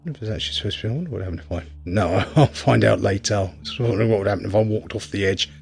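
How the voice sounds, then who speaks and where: Man, deep rough-edged voice, casual gameplay commentary, hesitant thinking-aloud delivery. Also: racing, a little mellow. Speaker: video game reviewer.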